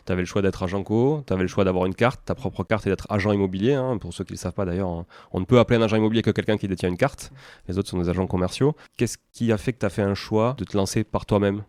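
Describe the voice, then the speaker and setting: A man's voice: sits low, darkish tone, dry recording. A man hosting an interview podcast, asking long, wordy questions and adding explanations for the listeners. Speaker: podcast host.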